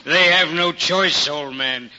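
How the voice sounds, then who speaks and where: A brusque, scolding barman in old radio drama style. His voice is forceful and cutting, pitched fairly high, on a dull vintage recording. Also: takes it slowly, exaggerated voice. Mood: dismissive. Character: gruff, stern tavern keeper, a grown man. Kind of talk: 1940s radio acting.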